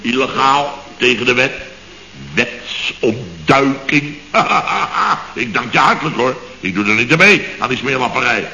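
Brief: self-satisfied blustering builder boss, lively delivery, vintage radio comedy. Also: male voice, medium pitch, unhurried, creaky, slight background noise, exaggerated voice. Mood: boastful blustering. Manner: pompous, rambling, self-satisfied musing. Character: pompous, boastful middle-aged male building boss. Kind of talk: 1960s radio comedy acting. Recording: dull, echoey old radio studio recording.

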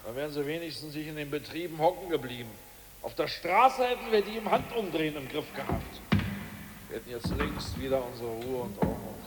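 A man's voice: a little high, a little fast, in a large hall, very noisy, natural voice. An actor playing an ousted male Politburo member who grumbles and hesitates, in a 1980s radio play. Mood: grumbling.

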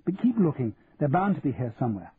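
A man's voice: mid-range voice, big pitch swings, fast, natural voice. A man voicing a confident young English society woman in an audiobook, gushing and upper-class in accent. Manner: gushing, effusive, crisp society politeness. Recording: muffled narrow-band audio with hiss.

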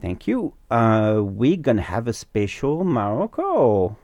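A man's voice: medium pitch, sing-song, takes it slowly, a little mellow, no echo. A man co-hosting a community radio show, chatting casually and laughing often. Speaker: radio co-host.

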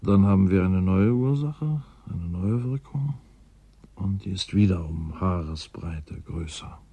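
Deep, husky, wry scientist. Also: male voice, animated, a little slow, mellow, dry recording, exaggerated voice. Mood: musing. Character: older male climate scientist, wry, courteous, absorbed in theory. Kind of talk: German radio drama acting, naturalistic dialogue.

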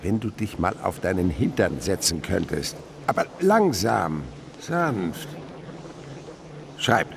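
An actor playing an excitable older father and insect researcher in a radio play, exclaiming and dictating his observations didactically. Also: low-pitched, slow, vocal fry, noisy background, exaggerated voice. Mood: absorbed, observing. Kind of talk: German radio play acting.

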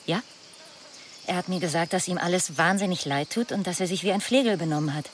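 A woman's voice: on the low side, a little fast, a little hiss or hum, lightly acted. An actress playing a smitten, easily swayed jilted woman in a radio drama, confiding and unsure of herself. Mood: dreamy, confiding.

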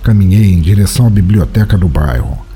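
A man acts out a broke, hard-drinking Brazilian writer narrating an audio drama. His low voice is very mellow and swings widely in pitch as he muses wistfully, a weary romantic telling his own story. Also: lively intonation, a little fast, slightly rough, heavily acted. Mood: resigned, reflective. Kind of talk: first-person literary audio drama narration.

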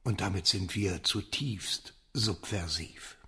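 A man's voice: low-pitched, takes it slowly, sharp tone, heavily acted. An actor playing a cynical, subversive man in a radio drama, holding forth in a sardonic, lecturing manner. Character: cynical, subversive man, theorizing mentor type. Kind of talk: German radio drama acting.

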